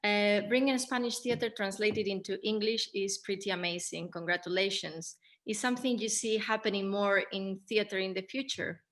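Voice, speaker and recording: A woman's voice: mid-range voice, fairly even intonation, normal speed, fairly bright tone. A woman, a theatre director, hosting a casual post-show discussion and carefully searching for her words.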